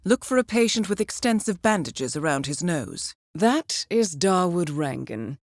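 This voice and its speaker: An actress playing a composed, dryly witty intelligence handler who briefs an assassin in a measured, formal way for a video game. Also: female voice, fairly low pitch, very animated, dry recording, clean studio sound, exaggerated voice. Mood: composed, attentive. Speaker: mission handler briefing an agent. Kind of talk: scripted video game voice acting, mission briefing.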